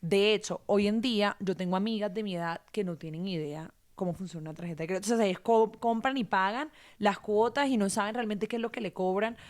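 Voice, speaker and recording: A woman's voice: medium pitch, a little fast, hardly any pauses, darkish tone. A woman, earnestly giving practical money tips in a casual conversation and often correcting herself mid-sentence. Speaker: guest.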